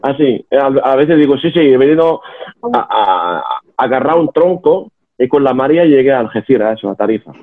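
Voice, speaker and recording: A man's voice: mid-range voice, expressive. Young man on tinny call audio. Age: young adult. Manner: joking, halting with many restarts. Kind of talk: casual chat on a video call.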